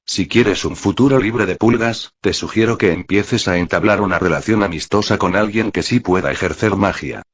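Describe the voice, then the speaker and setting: Hombre de voz muy grave, narrando. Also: male voice, deep voice, moderate pace, hardly any pauses. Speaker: audiobook narrator. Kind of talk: scripted story narration. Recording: muffled, roomy, lo-fi audio.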